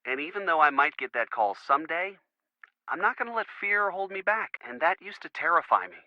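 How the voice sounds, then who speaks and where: An earnest young boyfriend for a video game phone call. His voice sits a bit high and moves in lively swings, boyish and hesitant as he rambles. The sound is dull and lo-fi, with little room around it. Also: a little fast, no room sound. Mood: earnest, tender. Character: earnest, devoted young college boyfriend of a girl detective.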